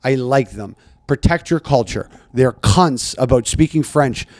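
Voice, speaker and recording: A man's voice: medium pitch, animated, a little slow, a little mellow, dry recording, studio quality. A man hosting a casual comedy chat, riffing and swearing, rambling with restarts. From Canada. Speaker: podcast host.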